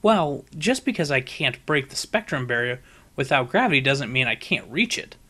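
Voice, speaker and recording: A man's voice: medium pitch, big pitch swings, normal speed, bright, forceful tone. A man voicing a brash, boastful young female pegasus pony in a storybook reading, cocky and emphatic. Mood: confident, eager. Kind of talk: fan fiction audiobook character voice.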